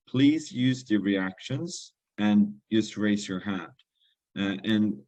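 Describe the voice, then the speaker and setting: A young man, low in pitch for a man, hosting a webinar and introducing his guest. He speaks politely and a little hesitantly, with many ums and uhs. The sound is dry and close, with hardly any room around the voice. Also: dry recording. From Sweden. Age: young adult. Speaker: webinar host, business intern.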